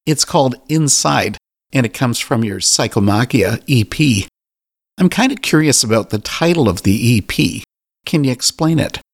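A man interviewing a musician, asking measured, prepared questions. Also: mid-range voice, animated.

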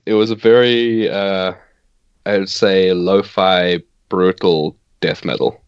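South african guy in his 20s, afrikaans first language, low voice, dry dull sound, chuckles a lot. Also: slow pace, smooth, no room sound. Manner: relaxed, laughs often, hesitant restarts. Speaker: band bassist. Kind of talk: casual interview chat.

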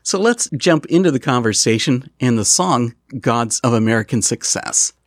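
Gravelly-voiced male radio host. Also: medium pitch, lively intonation, normal speed, dry recording, clean studio sound. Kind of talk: scripted show intro and interview questions.

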